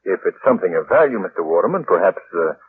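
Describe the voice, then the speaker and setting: A narrow, tinny vintage radio recording with slight hiss holds an urbane, composed gentleman hero. His medium voice is courteous and measured in phrasing, yet very lively in pitch. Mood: calm, courteous. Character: composed, courteous gentleman detective, quietly in charge. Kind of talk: old-time radio drama acting.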